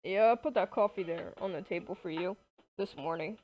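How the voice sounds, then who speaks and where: A woman playing an easygoing, affectionate businessman in love, talking casually and haltingly in an improvised game roleplay. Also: lowish voice, close to an everyday voice. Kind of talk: improvised Minecraft romance roleplay. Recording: dull, lo-fi microphone.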